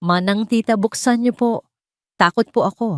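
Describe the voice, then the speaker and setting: Storybook child: female reader as frightened young boy, higher register, tearful pleas, dry recording. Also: normal speed, no echo, natural voice. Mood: scared pleading. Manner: pleading, tearful. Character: frightened, pleading little boy. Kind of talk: dramatic audio storybook dialogue.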